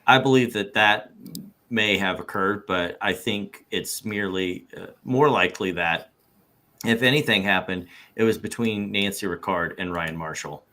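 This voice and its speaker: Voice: low-pitched, moderate pace, sharp tone. A performer reading a deposition transcript as an evasive male businessman who hedges his testimony as a witness. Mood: guarded. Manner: evasive, hedging, noncommittal. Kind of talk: read-aloud deposition transcript.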